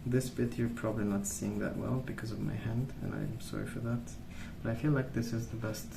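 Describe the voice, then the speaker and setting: Soft-spoken, creaky low-voiced man rambling. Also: expressive. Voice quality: soft, hushed voice, at times near a whisper. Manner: gentle, unhurried, hesitant rambling. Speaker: ASMR host. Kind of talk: soft-spoken ASMR rambling while colouring. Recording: roomy, distant mic with clear noise.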